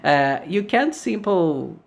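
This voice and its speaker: A man's voice: high-pitched, big pitch swings, creaky. A man from Brazil with a Brazilian Portuguese accent, a guest guitarist speaking English in a casual podcast interview and searching for his words. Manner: halting, searching for words with many restarts.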